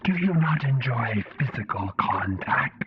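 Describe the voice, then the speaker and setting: A man voicing a haughty alien leader with a gurgling, creaky voice and slow, formal speech in a narrated science fiction story. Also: mid-range voice, expressive, dull audio, noisy background, natural voice. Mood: formal, ponderous.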